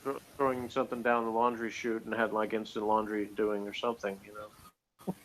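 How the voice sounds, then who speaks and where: A man's voice: on the low side, little pitch movement, moderate pace, fairly bright tone, poor audio. A man co-hosting a casual review chat, giving his reactions in an everyday conversational way. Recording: clear background room noise.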